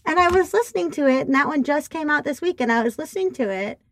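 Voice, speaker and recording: Nasal woman, animated podcast chat, lively swooping pitch, dry close sound. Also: fast, dry recording. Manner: expressive, animated storytelling. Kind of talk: casual chat. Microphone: remote call.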